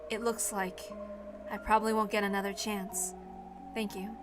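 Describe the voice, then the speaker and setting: A woman voicing a solemn dying mother saying farewell in a quiet, halting, earnest way, in a dramatic reading. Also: mid-range voice, flat delivery, music in the background, voice pushed down, lightly acted. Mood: resigned farewell.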